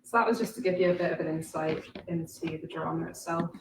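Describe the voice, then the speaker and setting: Woman giving a talk, bit low, self-conscious, echoey room, mic far away. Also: fast, loud music behind the voice. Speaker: presenter, audio drama creator and director. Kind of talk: informal presentation to a room. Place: indoor room at a live presentation. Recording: echoey room, distant mic, faint noise.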